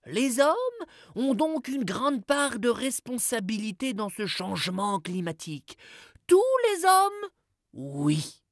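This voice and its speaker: A woman narrating an educational cartoon like a storybook, in a theatrical, deliberate, mock-solemn way. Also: fairly high pitch, very animated, dry recording, clean studio sound.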